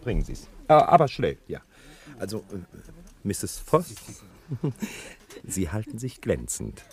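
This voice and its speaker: A sly, smug detective in a 1960s radio crime play. His pitch leaps about constantly, and he speaks with jovial mock courtesy, excitable and given to chuckling. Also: takes it slowly, husky, exaggerated voice. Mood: jovial, needling. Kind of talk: 1960s German radio crime drama acting.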